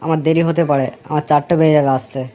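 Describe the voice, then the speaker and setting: A woman's voice: low-pitched, flat delivery, rapid-fire, mellow, close to an everyday voice. A woman voicing a young husband in a dubbed cartoon, sounding impatient and pleading over music. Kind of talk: cartoon dubbing. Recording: clear music behind.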